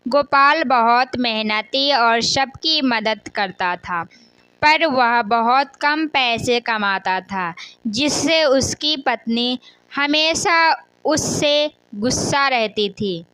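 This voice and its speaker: Woman, children's story narrator, slow pace, dry close sound, read-aloud delivery with small stumbles. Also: fairly high pitch, bright, forceful tone, smooth, no echo, rough recording.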